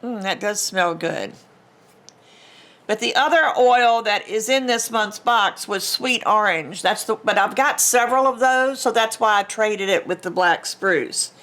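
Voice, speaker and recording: An older woman with a bright, clear tone and a slightly stuffy, congested nose. She talks slowly and chattily, wandering off track and restarting sentences. Recorded at home on a camera mic that sometimes catches her from farther away. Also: mid-range voice. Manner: chatty and rambling, with restarts and small laughs. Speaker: vlogger unboxing a craft subscription box. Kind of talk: casual unboxing chat.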